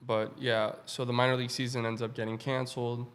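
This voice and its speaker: A man's voice: mid-range voice, flat delivery, quick pace, fairly bright tone, smooth. A man in his twenties, a pro ball player, talking casually as a podcast guest and often filling with "man" and "like". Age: 20s. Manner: laid-back, peppers speech with "man" and "like". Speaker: professional baseball player, guest. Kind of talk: casual interview talk.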